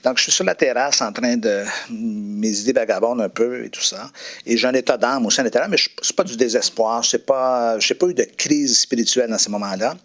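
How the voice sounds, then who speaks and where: A man's voice: medium pitch, brisk, a bit bright. A middle-aged man, a preacher, telling his personal story in an interview, reflective and hesitant with frequent fillers and restarts.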